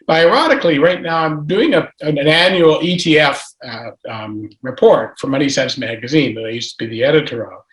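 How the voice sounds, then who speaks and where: A man's voice: on the high side, fairly quick, few pauses, a bit bright, reverberant, poor audio. A man, a financial journalist, talking in a hesitant, rambling way with frequent restarts on a video call. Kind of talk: casual panel discussion.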